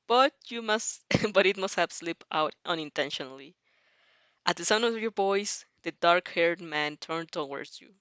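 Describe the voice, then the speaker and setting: A woman's voice: mid-range voice, a bit bright, no room sound. Giggly woman reading fanfiction aloud. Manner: giggly, often breaks into laughter. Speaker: fanfiction reader and reaction host. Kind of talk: audio fanfic reading with live reactions.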